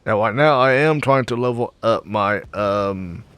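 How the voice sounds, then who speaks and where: A man's voice: lowish voice, a bit bright, clear, resonant tone, dry recording. A man giving casual, hesitant let's play commentary and reading game dialogue aloud. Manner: hesitant, halting. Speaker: gaming video host.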